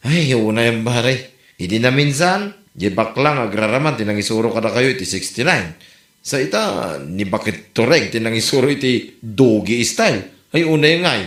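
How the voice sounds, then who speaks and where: Man acting an animated, teasing Filipino buddy, roomy echo. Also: mid-range voice, normal speed, close to an everyday voice. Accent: Ilocano. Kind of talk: Ilocano comedy drama acting.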